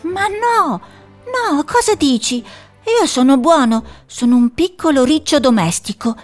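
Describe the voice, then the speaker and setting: A woman voicing a small, frightened male pet hedgehog who talks high and shrill and rises to shouting in a storybook. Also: lively intonation, unhurried, faint music behind. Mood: agitated.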